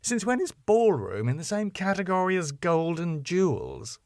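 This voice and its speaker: A sceptical sitcom wife, voiced by a man, sardonic and questioning. The lines swing widely in pitch, in a very dry, close recording. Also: wildly swooping pitch, moderate pace, darkish tone, no room sound, heavily acted. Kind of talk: sitcom script reading.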